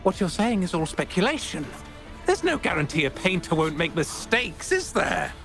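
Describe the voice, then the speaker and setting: An actor playing a smug, scheming art dealer in a video game, speaking in a haughty, theatrical drawl over background music. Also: male voice, sits high, sing-song, normal speed. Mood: defensive. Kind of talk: dramatic video game acting.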